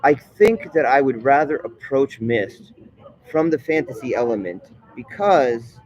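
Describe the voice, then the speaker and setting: A man talking in a casual vlog monologue, rambling and digressive, often halting mid-thought. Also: mid-range voice, lively intonation, a little mellow, highs cut off. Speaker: vlogger.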